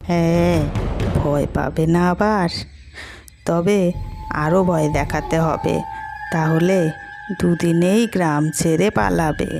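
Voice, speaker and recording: A woman's voice: on the low side, fairly even intonation, takes it slowly, creaky, long pauses, music in the background. A woman playing a greedy, cowardly village wife in a Bengali cartoon, with an exaggerated, whining delivery. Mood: scheming. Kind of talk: Bengali cartoon drama acting.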